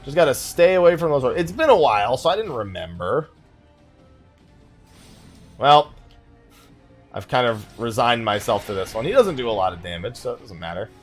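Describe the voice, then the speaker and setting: A man's voice: mid-range voice, big pitch swings, moderate pace, long pauses, soft background music. A man giving casual let's play commentary, rambling and thinking aloud as he plays. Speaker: gaming YouTuber hosting a let's play.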